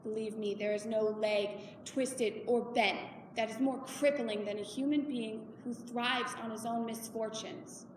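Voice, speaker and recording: A woman's voice: on the high side, fairly even intonation, measured pace, hardly any pauses, pitched below the performer's own voice, close to an everyday voice. A woman playing a weary, embittered widowed mother in a dramatic monologue, firm and emphatic with her daughter. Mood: defiant, angry. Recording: echoey hall, far from mic, noisy.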